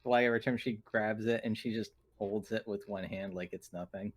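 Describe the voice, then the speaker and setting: A man's voice: fairly low pitch, steady tone, fairly quick, no room sound. A man talking as a player in a casual tabletop roleplaying game.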